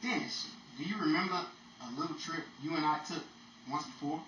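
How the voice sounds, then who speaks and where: Exasperated, sardonic male Hollywood wisecracker acted by a man, 1940s radio style; bright voice, roomy distant mic. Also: a little high, fairly even intonation, fairly quick, a little hiss or hum. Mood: wry caution. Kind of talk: 1940s old time radio comedy acting.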